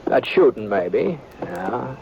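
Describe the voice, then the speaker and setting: An American actor playing an easygoing frontier gunman who also narrates, in halting, folksy radio western acting. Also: medium pitch, very animated, creaky, full character voice. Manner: halting, folksy drawl with many hesitations. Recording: noisy dull old radio broadcast.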